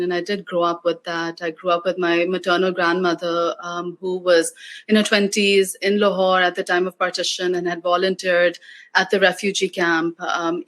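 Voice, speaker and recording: A woman from Pakistan, a guest oral historian, answering a panel question in a reflective, measured way with frequent pauses. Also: fairly low pitch, fairly bright tone.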